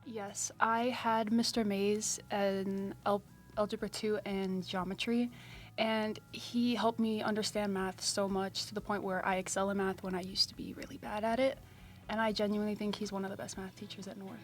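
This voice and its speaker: A woman's voice: mid-range voice, little pitch movement, moderate pace, faint music behind. Shy teenage girl from South Africa. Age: teens. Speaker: high school student, guest. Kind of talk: school video interview.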